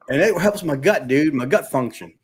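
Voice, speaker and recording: A man's voice: fairly high pitch, animated, unhurried. A man whose first language is Spanish, a former police officer chatting as a guest on a live stream in a joking, laid-back way. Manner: joking, laid-back, says "dude" often. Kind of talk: casual live-stream chat.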